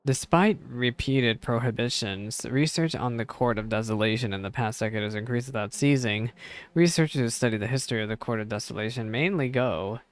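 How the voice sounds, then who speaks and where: A man's voice: mid-range voice, fairly quick. A man streaming a game, reacting casually, musing to himself and reading text aloud, often repeating phrases.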